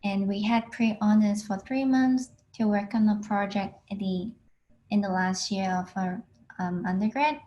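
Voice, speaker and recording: A woman in her twenties from Hong Kong, a PhD student giving a plain, unhurried talk over a video call with frequent ums. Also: mid-range voice, fairly even intonation, dark tone, lo-fi sound. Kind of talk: online alumni talk.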